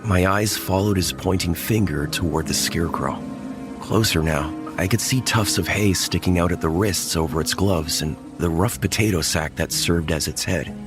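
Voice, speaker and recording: Male narrator, very low, tense first-person horror read, music bed behind. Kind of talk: horror audio drama narration.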